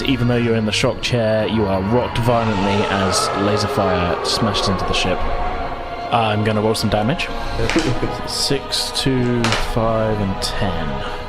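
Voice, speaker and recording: A man narrating a tabletop role-playing game as game master, explaining in a hesitant, conversational way. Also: mid-range voice. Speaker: game master and podcast host. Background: music.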